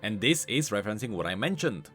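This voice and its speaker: A man giving scripted, explanatory commentary as a video creator covering game lore, in an animated manner. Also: on the low side, fast talker, husky, faint music behind.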